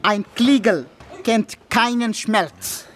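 An actor playing a stern martial arts teacher with a foreign accent in a radio drama, clipped and swapping r for l. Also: male voice, high voice, takes it slowly, a bit bright, a little hiss or hum. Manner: clipped, swaps r for l. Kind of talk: radio crime drama acting.

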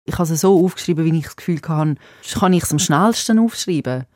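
A woman's voice: sits low, brisk, a little mellow, no echo, clean studio sound. A woman in her late thirties with a Swiss German accent, a native Serbo-Croatian speaker, talking thoughtfully about her writing with frequent restarts. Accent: Swiss German, Zurich/Winterthur. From Switzerland. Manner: thoughtful, self-questioning with restarts. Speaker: author interviewed. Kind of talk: reflective casual talk.

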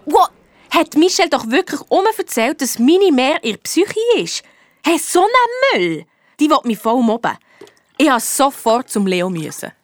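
A woman's voice: high-pitched, sing-song, measured pace, no room sound. A young woman with a Swiss German accent playing a feisty schoolgirl detective narrating her case in a radio play. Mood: indignant. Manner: indignant, colloquial.

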